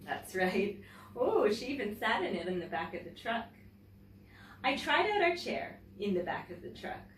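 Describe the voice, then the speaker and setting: A woman teacher reading a picture book aloud to children in an expressive storytelling manner, pausing to comment and ask questions. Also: medium pitch. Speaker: teacher and story-time host. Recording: roomy, distant mic, faint background noise.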